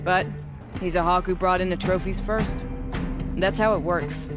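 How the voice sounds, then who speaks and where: An actress playing a proud, hard-bitten hunter in a video game, with a wry and blunt way of talking. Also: female voice, on the low side, steady tone, a little slow, music in the background, close to an everyday voice. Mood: wry, matter-of-fact.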